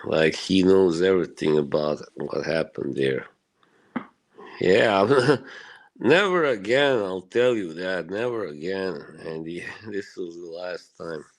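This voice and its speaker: Slow, very deep-voiced man from Bosnia. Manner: rambling and profane, full of "you know" and short laughs. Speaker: live streamer talking to his chat. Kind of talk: casual rambling chat.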